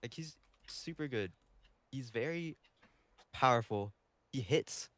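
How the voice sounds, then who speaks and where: A man's voice: mid-range voice, animated, takes it slowly, a bit bright. A man sharing his opinions casually as a podcast panelist.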